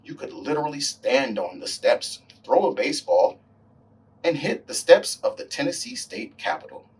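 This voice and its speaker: A man voicing an earnest older civil rights veteran recalling his youth in deliberate storybook narration. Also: medium pitch, sing-song, husky, far from the mic, voice pushed up, exaggerated voice, poor audio. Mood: reflective.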